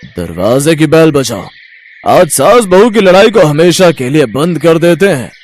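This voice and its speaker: A man's voice: fairly high pitch, very animated, a little slow. A man voicing a stern, formal god of death who gives patient but insistent commands in a moral story. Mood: commanding. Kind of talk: animated Hindi moral-story voice acting.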